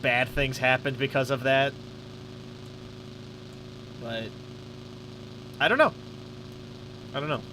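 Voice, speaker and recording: A man's voice: mid-range voice, fairly even intonation, slow pace, pauses often, bright, forceful tone, a little hiss or hum, low-quality recording. A man with a slightly stuffy nose doing casual, sweary let's play commentary, thinking aloud as he goes. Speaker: gaming YouTuber. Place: his room at home.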